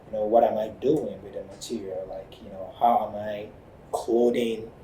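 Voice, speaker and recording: A man with a Ghanaian accent, an artist talking through his work in a thoughtful, halting way, often pausing on "you know.". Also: on the low side, steady tone, dark tone, a little hiss or hum, rough recording. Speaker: ceramic artist. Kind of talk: reflective studio talk.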